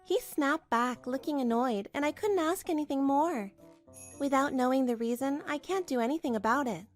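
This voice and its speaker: A woman narrates a scripted manga drama in the first person. Her voice is high-pitched and expressive, telling the story over background music. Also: moderate pace. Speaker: narrator and voice actor.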